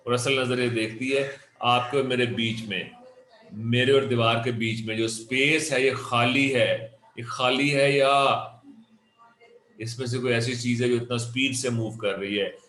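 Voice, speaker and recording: A man's voice: mid-range voice, fairly bright tone. A man with a Pakistani accent giving an interactive motivational lecture, asking questions and repeating phrases for emphasis. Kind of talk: interactive motivational lecture mixing Urdu and English. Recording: echoey room.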